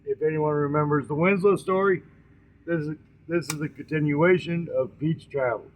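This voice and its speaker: A man hosting an informal storytelling session, speaking in a folksy, halting way, often repeating and correcting his words and addressing listeners directly. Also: a little high, fairly even intonation, low-quality recording. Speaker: storytelling host.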